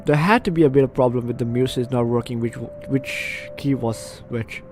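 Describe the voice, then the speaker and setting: A man's voice: mid-range voice, moderate pace, a little mellow. Man reading a game aloud over faint music. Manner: reactive, chatty asides. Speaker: let's play host. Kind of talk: reading a visual novel aloud with playful commentary.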